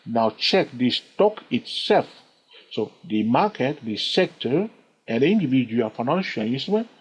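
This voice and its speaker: A man teaching a chart lesson on trading, constantly checking in with the listener with quick questions. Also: fairly high pitch, slightly rough. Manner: animated, keeps asking the listener "yes or no?" and "okay?". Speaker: trading instructor. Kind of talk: screen-recorded chart lesson. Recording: dull lo-fi recording.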